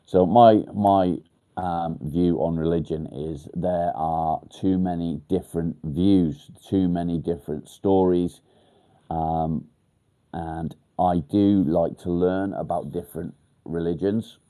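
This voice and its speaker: Man, livestream host, blunt and direct, nasal and stuffed up, very low pitch. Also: steady tone, slow, mellow. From England. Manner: blunt, straight to the point. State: congested, nasal from a blocked nose. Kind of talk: casual live chat answering viewer comments.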